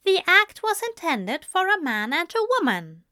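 Woman voicing a high, prissy, flustered male angel. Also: sing-song, moderate pace, sharp tone, no room sound, studio quality, heavily acted. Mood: flustered, aghast. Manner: prissy, snippy, evasive. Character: prim, flustered, easily scandalised male angel.

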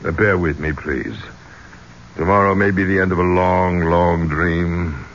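An actor playing a courtly, idealistic older archaeologist in a 1970s radio drama, with a genteel, formal and slightly hesitant way of talking. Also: male voice, deep voice, measured pace, a bit bright. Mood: placating. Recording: muffled, noisy old radio recording.

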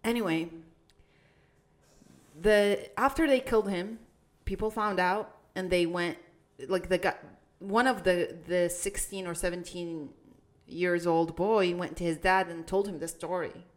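A young woman from Iraq, co-hosting a podcast and telling a story in casual chat, with halting restarts and fillers. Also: fairly low pitch, steady tone. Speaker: podcast co-host.

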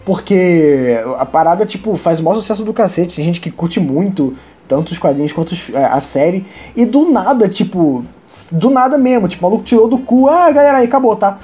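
A man with a Brazilian accent, co-hosting a casual podcast from home and presenting nerd news, excitable and quick to swear and laugh. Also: high-pitched. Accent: Brazilian Portuguese. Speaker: podcast co-host.

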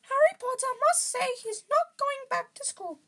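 Woman acting a tiny male elf, extremely high squeak, servile, pleading, stubborn, storybook character. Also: lively intonation, normal speed, fairly bright tone. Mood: sad, stubborn. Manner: pleading, speaks of self in third person. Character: small anxious male house-elf, servile yet stubborn.

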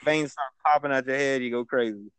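A man's voice: medium pitch, fairly quick, fairly bright tone, smooth, no room sound. A man hosting a casual podcast chat, stammering and repeating words, with laid-back slang.

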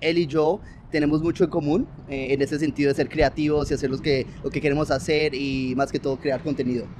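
A man hosting a casual podcast interview, talking in an upbeat, chatty way and agreeing quickly with his guest. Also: on the high side, level tone, a little mellow, a little hiss or hum. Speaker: podcast host.

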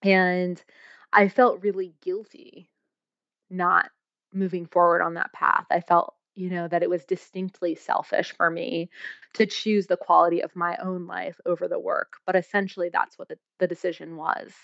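Woman guest, coach type, lowish voice, reflective and searching, dry slightly dull recording. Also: flat delivery, normal speed, creaky, a bit bright, no echo. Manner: thoughtful, searching, with frequent fillers. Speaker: guest, coach and energy healer. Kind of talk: reflective interview talk.

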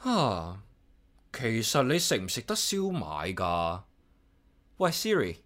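A man's voice: lowish voice, huge pitch swings, slow pace, fairly bright tone, full character voice. An actor playing an easygoing man who lives alone, speaking in a casual, wry manner in a radio drama. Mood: amused, wry. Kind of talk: Cantonese radio drama acting and narration.

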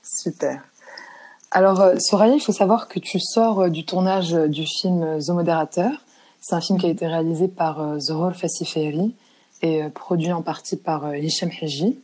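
A woman's voice: on the low side, steady tone, moderate pace, darkish tone. A woman hosting a conversational podcast interview as the interviewer.

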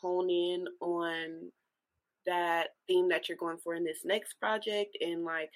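A woman's voice: lowish voice, flat delivery, slow pace, rough recording. A woman co-hosting a podcast, asking questions in a casual interview style. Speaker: podcast co-host.

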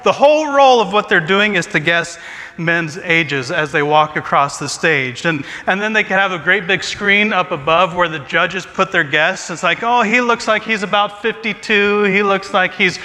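A high, bright male voice belongs to a pastor in his forties who jokes at his own expense in a chatty sermon. His words ring out with a long reverb, as in a large church sanctuary. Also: moderate pace. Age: 40s. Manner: self-deprecating joking, chatty asides. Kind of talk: humorous sermon talk.

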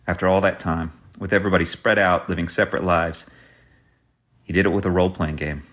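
A man with a very deep voice delivers a spoken tribute. He speaks in an earnest, measured way. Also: fairly even intonation, fairly bright tone.

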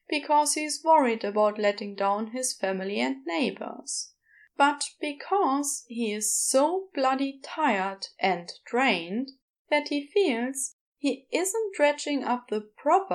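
A woman narrating a scripted story in an audiobook style. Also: a little high.

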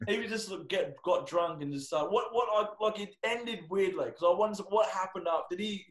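A man's voice: high voice, fairly quick. An Australian man hosting a casual podcast chat, with a blunt, matey, sweary way of talking. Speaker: podcast host and comedian. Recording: echoey room sound.

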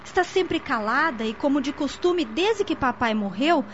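A woman's voice: high voice, expressive, moderate pace, fairly bright tone. A woman playing an earnest young niece in a radio soap opera, explaining things in an articulate, didactic way. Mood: worried. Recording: muffled lo-fi audio with faint noise.

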